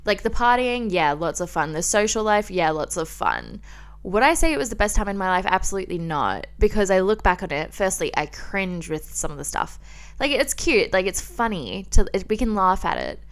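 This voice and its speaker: Clear-voiced young Australian woman chatting casually. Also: mid-range voice, expressive, normal speed. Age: young adult. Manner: informal, confiding, rambling with lots of "like". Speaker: podcast host. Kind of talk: casual chatty solo podcast.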